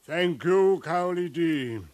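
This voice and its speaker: Dismissive scholar, declaiming, slow, dry close sound, vintage radio drama. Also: male voice, a little high, a little mellow, no room sound. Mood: solemn. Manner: pompous, declaiming. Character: male expedition-leading scholar of the far future, pompous, dismissive. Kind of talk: 1950s radio drama acting.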